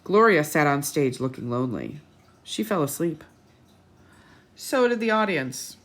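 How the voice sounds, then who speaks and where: A woman reading a picture book aloud to children as a storytime host, animated and teacherly, with dramatic delivery and little asides. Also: low-pitched, measured pace.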